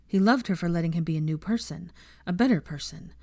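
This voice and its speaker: A woman's voice: lowish voice, quick pace, a little mellow, dry recording, clean studio sound. A woman reading fiction aloud as an audiobook narrator, delivering scripted story narration.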